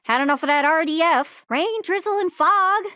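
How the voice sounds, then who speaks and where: A woman voicing an excitable, scatterbrained globe character in a video game, with a folksy Newfoundland accent and a bubbly, exclamatory manner. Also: very high-pitched, moderate pace, no room sound, studio quality. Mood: giddy, excited. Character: excitable, scatterbrained living globe longing to travel.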